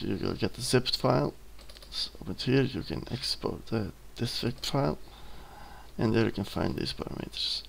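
A young man in his twenties, a native Dutch speaker with a Dutch accent, hesitantly talking through a screen-recorded software tutorial, with many ums and self-corrections. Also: on the low side, creaky, a little hiss or hum. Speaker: student giving a tutorial. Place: at a computer, indoors.

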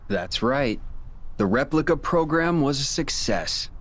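An actor playing a cold, smug young male villain in a video game, explaining and taunting in measured lines. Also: mid-range voice, lively intonation. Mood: cold, explanatory. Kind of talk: dramatic video game cutscene acting.

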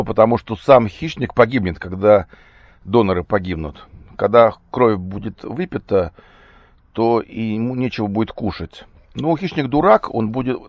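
A man with a slightly hoarse, cold-affected voice giving a casual, halting, off-the-cuff vlog monologue. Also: fairly low pitch, darkish tone, no echo. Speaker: vlogger. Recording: dull, muffled lo-fi recording.

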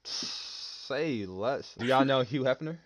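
A man's voice: medium pitch, expressive, slow, a crowd in the background, low-quality recording. Teasing young man from New Jersey.

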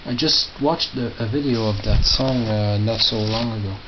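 Low-voiced man, muffled noisy recording. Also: moderate pace. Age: middle-aged. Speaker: record collector showing his collection. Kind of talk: casual off-the-cuff commentary. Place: small tiled indoor room by storage crates. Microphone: handheld camera held by the speaker. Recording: muffled, noisy low-grade camera audio with clicks.

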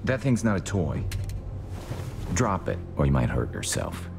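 An actor playing a shrewd, armed stranger in an apocalypse video game, with a folksy, probing way of talking. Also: male voice, low-pitched, big pitch swings, a little slow, gravelly, background noise, exaggerated voice. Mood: cool, controlled. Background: music.

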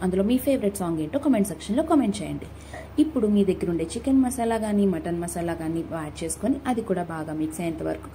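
A woman's voice: medium pitch, dark tone, slight background noise. A young woman with a Telugu accent narrating a cooking recipe in a casual, friendly way, talking to her viewers and mixing in English words. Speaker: cooking vlogger.

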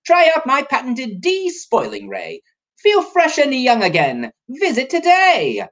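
A man voicing an eccentric female cookie professor, a brisk inventor with a theatrical, salesy delivery in a storybook. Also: extremely high pitch, huge pitch swings, a bit bright, voice pushed up, heavily acted. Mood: salesy, upbeat.

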